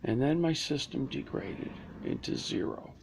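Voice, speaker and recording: Gravelly guy rambling on a live stream in a library, phone mic, noisy. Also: mid-range voice, expressive, a little slow, darkish tone. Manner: rambling, restarts, trails off into asides. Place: public library indoors. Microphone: phone or laptop camera.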